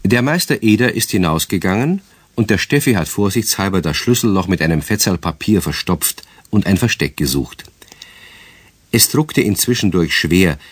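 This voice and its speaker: A man's voice: low voice, no echo. A man narrating a children's radio play in scripted storybook style, with a southern German accent.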